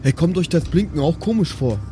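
An easygoing young stoner played by a man in an improvised audio drama, with a fairly high voice. He gropes for words in slangy, slightly exasperated bursts. Music plays clearly behind him. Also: lively intonation, mellow, natural voice. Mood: puzzled, insistent. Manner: colloquial, halting, groping for words.

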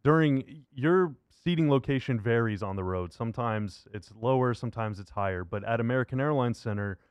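Male sports talk host, medium-pitched, conversational interviewer, dry studio sound. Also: brisk, clean tone, no echo, muffled recording. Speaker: podcast and radio show host.

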